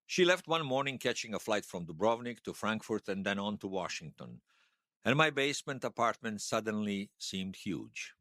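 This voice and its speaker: Wry, animated male storyteller. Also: lowish voice, moderate pace, no room sound, clean studio sound. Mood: wistful, matter-of-fact. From Bosnia. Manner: wry storytelling with colourful folk sayings. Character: wry, folksy Bosnian man recounting his past to interviewers. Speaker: storyteller being interviewed. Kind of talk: audio drama monologue in interview form.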